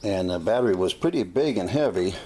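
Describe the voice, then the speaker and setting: An American man with a fairly low voice shows off a gadget in a casual, unscripted way. He is folksy and plain-spoken, often halting as he finds his words. Clear noise runs through the amateur recording. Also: expressive, a little mellow. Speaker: hobbyist demonstrating a vintage gadget.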